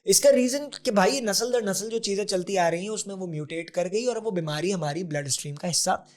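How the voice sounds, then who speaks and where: A man's voice: high voice, fairly quick, darkish tone. A man, a podcast guest who explains things earnestly and drops English terms into his talk. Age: 40s. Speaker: podcast guest, health advocate. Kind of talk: conversational interview.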